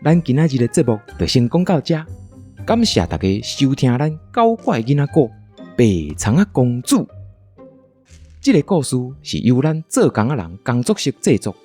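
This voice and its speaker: A man with a Taiwanese accent narrates a children's radio story as the show's storyteller. His mid-pitched voice moves in lively swings, with faint music underneath. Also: moderate pace, breathy edge. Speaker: storyteller and show host.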